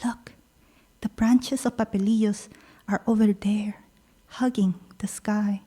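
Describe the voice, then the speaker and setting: Brief: woman poet, Korean native, reading her verse slowly, mid-range pitch. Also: steady tone, breathy edge. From Peru. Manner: slow, measured delivery with soft pauses between lines. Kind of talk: poetry reading.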